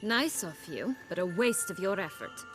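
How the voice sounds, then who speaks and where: A proud exiled noblewoman from a fantasy game, acted by a woman, with a medium-pitched voice. She is cool, sardonic and commanding, measured in her menace. Faint music runs behind her. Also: expressive, natural voice. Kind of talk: dramatic fantasy game acting.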